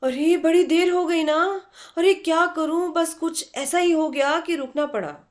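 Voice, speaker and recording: A woman voicing an ambitious, lively young female art student in a story, animated and emphatic. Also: extremely high pitch, measured pace, voice pushed up. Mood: flustered, explaining.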